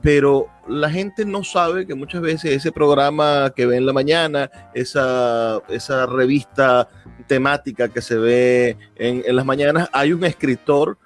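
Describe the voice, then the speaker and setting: Casting: male Venezuelan radio interviewer, medium pitch, thoughtful halting phrasing, conversational questioning over music. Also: steady tone.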